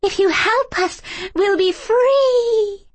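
A woman voicing a tiny, blunt, eager fairy in a high little storybook voice. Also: slow. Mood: eager, pleading.

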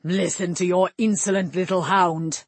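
A big, blustering warrior king of a fantasy audiobook, acted out by a woman, domineering and quick to anger. The voice is gruff and husky, with a commanding rustic bluntness. It is recorded dry and close. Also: on the low side, steady tone, no room sound. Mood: scornful. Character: massive grey-haired warrior king, gruff, domineering, hot-tempered father.

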